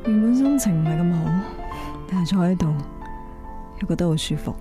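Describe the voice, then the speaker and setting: Woman acting a low, weary wife over music. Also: expressive, fairly quick, lightly acted. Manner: subdued, reflective, confessional. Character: weary, troubled middle-aged wife confiding in her lover. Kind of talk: radio drama acting. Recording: music under the voice.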